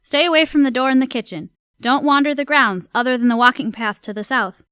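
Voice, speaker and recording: A woman's voice: high voice, fairly quick, a bit bright, clear, resonant tone, no room sound, clean studio sound. A woman playing a dutiful, nervous housemaid confiding in her journal in an audio drama, speaking earnestly. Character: dutiful, nervous young housemaid at a grand mansion.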